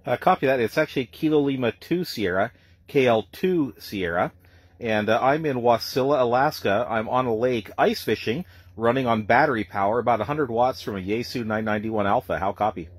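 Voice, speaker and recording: A man's voice: medium pitch, fairly quick, breathy edge, no echo. A man working as an amateur radio operator, speaking plainly in radio jargon and repeating details for clarity. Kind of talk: ham radio contact, phonetic spelling.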